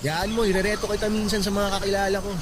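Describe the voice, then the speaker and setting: A man's voice: high-pitched, level tone, fast talker, very noisy, natural voice. A man acting a cocky, careless young rich playboy in a Filipino radio drama, breezy and dismissive in casual slang. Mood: breezy, bossy. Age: young adult. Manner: breezy, self-assured, dismissive. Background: loud music bed.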